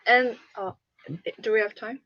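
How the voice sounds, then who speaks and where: A woman on a talk panel, giving her view in a measured, matter-of-fact way. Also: a little high, steady tone, muffled recording, crowd noise. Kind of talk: casual discussion.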